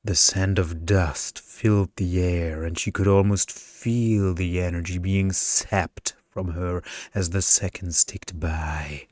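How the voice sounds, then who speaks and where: Deep, slow-reading male ASMR storyteller. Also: fairly even intonation, darkish tone, dry recording, studio quality. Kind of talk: ASMR bedtime story narration.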